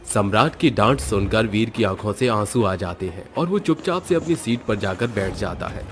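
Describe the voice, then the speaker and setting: A man's voice: lowish voice, lively intonation, moderate pace, slight background noise. A man narrating a scripted horror story as its storyteller. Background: faint background music.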